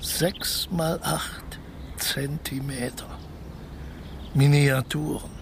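An actor playing a cocky, scheming ex-convict in a German radio crime comedy, talking in a sly, terse, conspiratorial way. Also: male voice, fairly high pitch, fairly even intonation, extremely slow, creaky, noisy background, heavily acted. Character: scheming, cocky male ex-convict planning a big heist.

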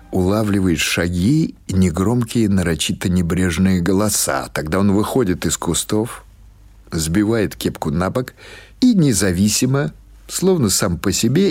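Male audiobook narrator for literary prose; lower-pitched, husky voice, scripted storytelling delivery. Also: darkish tone.

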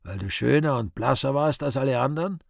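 An actor playing the Devil in a radio play, weary, sly and scornful, declaiming slowly and theatrically. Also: male voice, mid-range voice, lively intonation, vocal fry, dry recording, studio quality. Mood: declaiming, accusing. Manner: slow, deliberate, theatrical declamation with drawn-out words.

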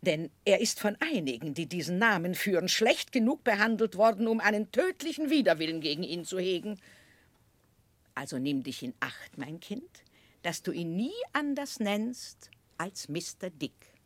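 Commanding, low-voiced older aunt. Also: female voice, very animated, moderate pace, dry recording. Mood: stern, warning. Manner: emphatic, commanding, clipped. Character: brisk, stern, eccentric older aunt, blunt and commanding. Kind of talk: 1960s German radio drama acting.

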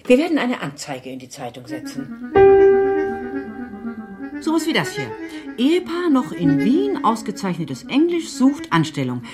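Brisk foster mother, swooping intonation. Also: mid-range voice, wildly swooping pitch, over loud music. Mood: practical. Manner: brisk, matter-of-fact, admonishing. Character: brisk, practical, strict but caring English foster mother. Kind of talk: German radio drama acting.

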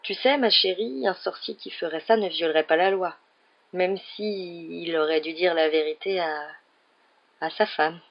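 A woman's voice: fairly low pitch, brisk, lo-fi sound, lightly acted. A woman voicing a weary middle-aged wizard father in a storybook, enthusiastic but sheepish, rambling and stammering when cornered. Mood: sheepish, evasive.

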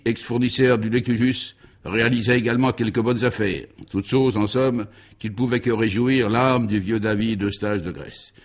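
A man narrating a scripted absurd comic radio serial in a mock-solemn, grandiloquent and emphatic way. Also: mid-range voice.

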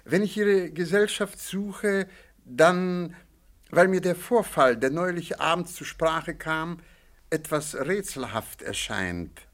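An actor playing a shrewd, courteous male astrologer in a German radio play, speaking in a measured, formal and probing manner. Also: a little high, fairly bright tone. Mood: musing, probing.

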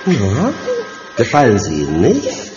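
An actor playing a hunchbacked, vengeful mad scientist in a horror audio drama, calm and deep-voiced, taunting with mock courtesy. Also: male voice, unhurried, music in the background. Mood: gloating, taunting. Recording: dull sound in a long echoing hall.

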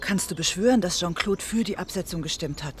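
A woman's voice: lowish voice, brisk, slight background noise, lightly acted. An actress playing a guarded wife defending her husband in a radio drama, pointed and controlled. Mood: challenging.

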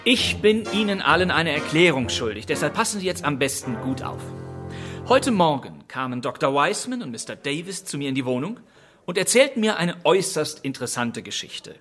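A man playing a composed, polite English gentleman detective in a radio crime drama, making deliberate, theatrical revelations. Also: a little high, very animated, soft background music. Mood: measured, revelatory.

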